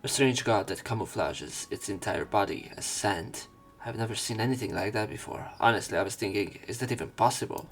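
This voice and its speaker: A man reading visual novel narration and dialogue aloud as a let's player, casual and a little halting. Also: lowish voice, faint music behind.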